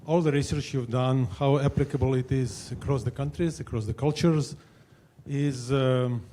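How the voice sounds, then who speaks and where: Man with Israeli accent, mellow voice. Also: mid-range voice, fairly even intonation, normal speed, a little hiss or hum. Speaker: audience member.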